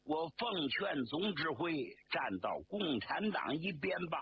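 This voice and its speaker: A courteous, resolute army commander, acted by a male storyteller. He speaks slowly and formally, in a raised, animated voice. The sound is dull and lo-fi. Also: fairly high pitch, vocal fry, full character voice. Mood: persuasive. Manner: formal, measured, persuasive. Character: courteous, resolute regimental commander, respectful but firm. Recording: dull lo-fi sound, faint noise.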